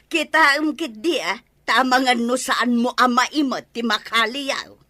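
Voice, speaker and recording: A woman's voice: on the high side, unhurried, bright, forceful tone, dry recording, clean studio sound. A woman with an Ilocano Filipino accent playing a nagging, skeptical village wife and mother in a radio comedy drama. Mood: scolding.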